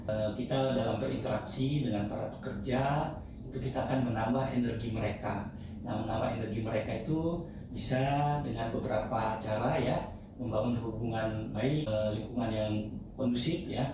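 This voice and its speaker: A man giving methodical explanatory answers as an interview guest. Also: medium pitch. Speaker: company president director, podcast guest. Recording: very echoey room, distant mic, noisy.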